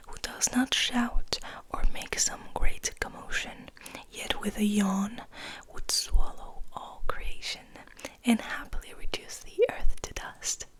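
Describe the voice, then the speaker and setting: A woman whispering in a breathy voice as she reads poetry for ASMR, in slow, measured phrases with pauses. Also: a little high, fairly bright tone, poor audio. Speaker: ASMR host reading poetry.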